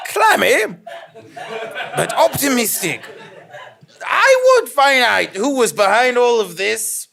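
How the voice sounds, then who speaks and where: Bewildered, hot-headed journalist hero for sci-fi parody, male actor. Bright, hammy, hugely animated pitch. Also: slow pace, vocal fry, exaggerated voice. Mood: dramatic narration. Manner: hammy, prone to giggling out of character. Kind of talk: comic radio-play acting and narration.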